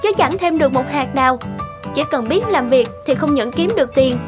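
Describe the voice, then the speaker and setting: Woman playing high-voiced plucky peasant girl. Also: animated, a little fast, over music. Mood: confident. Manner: polite, earnest, confident. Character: plucky, hardworking poor young peasant woman. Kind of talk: animated folk tale dubbing.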